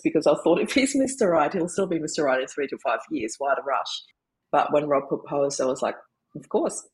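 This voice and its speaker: Breathy woman with an Austrian accent. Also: low voice, darkish tone, low-quality recording. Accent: Austrian German. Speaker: podcast guest, grief coach. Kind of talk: conversational interview storytelling.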